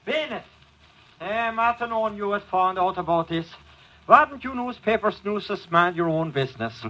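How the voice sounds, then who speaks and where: An actor playing an irritable, gruff rural sheriff who scolds intruders in an old-time radio drama. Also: male voice, high-pitched, lively intonation, moderate pace, pushed and bright. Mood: irritated. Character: irritable rural sheriff guarding his case. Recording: muffled vintage radio recording.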